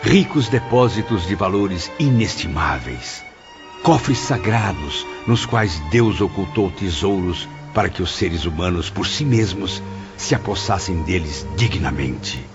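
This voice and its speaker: A man's voice: low voice, animated, measured pace. A man playing a remorseful spirit writer who recounts things in a solemn, literary, declamatory way, as in an audio novel. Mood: awed, reverent. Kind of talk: audio novel narration in character. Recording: dull muffled audio under music.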